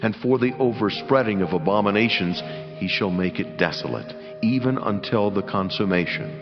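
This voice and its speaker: An actor playing a solemn, commanding angel in a dramatized scripture reading, speaking in measured, declamatory phrases. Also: male voice, fairly low pitch, lightly acted. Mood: solemn, authoritative. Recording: dull sound, long cavernous reverb, music underneath.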